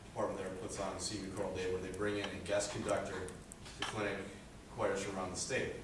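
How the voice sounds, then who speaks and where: Low flat-voiced teenage boy, echoey. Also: fast. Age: teens. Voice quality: low speaking voice. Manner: earnest, rambling with many "uh" fillers. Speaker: high school student presenting a senior project. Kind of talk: presentation speech. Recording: echoey room, distant mic, background noise.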